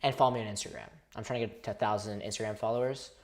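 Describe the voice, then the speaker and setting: A man's voice: fairly low pitch, steady tone, very fast. A man from India chatting casually on a livestream, rambling and talking to his viewers. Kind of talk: casual live-stream chat.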